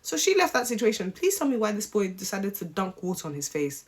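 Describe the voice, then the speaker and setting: A woman's voice: mid-range voice, a little fast, noisy background. Sassy woman recapping. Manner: animated, sassy, mimics cast members. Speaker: reality show recap vlogger. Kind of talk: casual opinionated recap.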